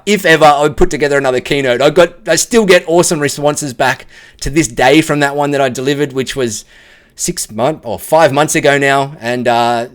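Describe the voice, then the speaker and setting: An Australian man giving a casual, candid spoken testimonial, chatty and unpolished. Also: a little high. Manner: candid and chatty, many fillers and restarts.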